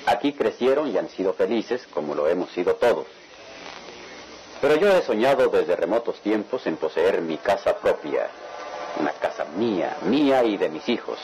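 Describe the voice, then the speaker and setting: A man's voice: low-pitched, sing-song, moderate pace, darkish tone, slight background noise, poor audio. An actor playing a proud, jovial middle-aged father in a radionovela, with a declamatory manner. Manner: chuckling, declamatory patriarch. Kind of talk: radionovela acting.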